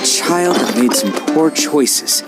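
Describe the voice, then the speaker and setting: Cocky, lively young hero. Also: male voice, a little high, slow pace, over loud music. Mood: confident, casual. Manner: flippant, swaggering. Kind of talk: video game voice acting.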